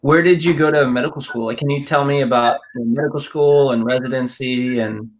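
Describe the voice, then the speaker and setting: A man's voice: mid-range voice, steady tone, a little fast, low-quality recording. A young man interviewing in a casual, conversational style. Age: young adult. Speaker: interviewer, medical school applicant.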